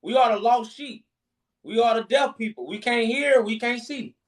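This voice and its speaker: A man with a high-pitched voice hosts a live debate stream with callers. He talks informally and repeats his phrases for emphasis. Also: moderate pace.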